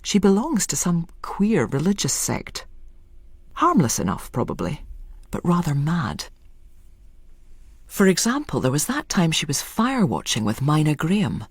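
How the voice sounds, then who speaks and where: Expressive, husky woman storyteller. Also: low-pitched, a little slow, dry recording. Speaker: storyteller, narrator. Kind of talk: dramatic scripted literary narration.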